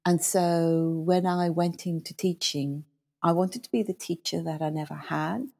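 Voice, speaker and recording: A middle-aged woman from South Africa, an Afrikaans native speaker, recalls her schooling as an interview guest. Her voice sits low and carries a gravelly fry, and the tone is dark and mellow. She talks in a thoughtful, measured way, pausing on fillers as she gathers her thoughts. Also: fairly even intonation. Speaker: guest, former school leader. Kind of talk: reflective interview storytelling.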